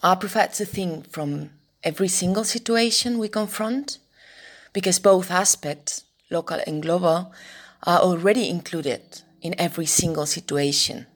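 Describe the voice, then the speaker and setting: A woman's voice: fairly low pitch, measured pace. A woman with a Spanish accent, a native Spanish speaker, explaining her views reflectively in an interview. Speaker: activist interviewee.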